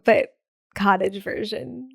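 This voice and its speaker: A young woman co-hosting a podcast in casual chat, bubbly and quick with asides, laughing often, sounding a bit sick with a cold. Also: medium pitch, steady tone, gravelly, husky, no echo, studio quality. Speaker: podcast co-host.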